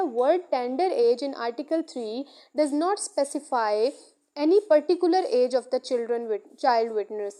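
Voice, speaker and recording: A woman's voice: high voice, dark tone. A Pakistani woman, a native Urdu speaker, teaching law by reading out points and explaining them repetitively. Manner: repetitive, code-switches between Urdu and English. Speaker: law lecturer.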